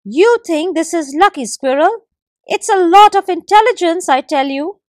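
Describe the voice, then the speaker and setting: A woman's voice: very high-pitched, animated, moderate pace, dry recording, clean studio sound, voice pushed up. A woman voicing a clever, boastful male forest gecko in a children's story, stubbornly turning down every request. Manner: proud, stubborn refusals.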